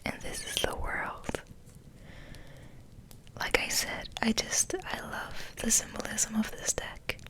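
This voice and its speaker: A woman hosting ASMR in a soft, gentle whispered ramble, hushed and unhurried, sometimes softly repeating words. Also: on the high side, lively intonation. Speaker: ASMR host.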